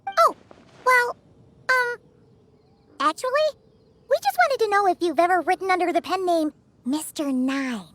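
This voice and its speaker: A woman voicing a tiny, chatty, childlike floating companion in an animated game, with a squeaky, eager delivery. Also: measured pace, pauses often, no echo, full character voice. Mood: puzzled. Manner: exclamatory, eager.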